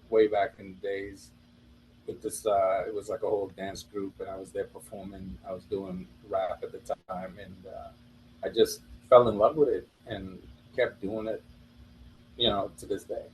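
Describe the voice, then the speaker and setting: A man with a Trinidadian accent talks in a lowish voice, relaxed and chatty as he tells his story. A faint hum of ambience sits behind him. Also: normal speed, rough recording. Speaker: rapper and producer. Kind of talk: casual interview chat.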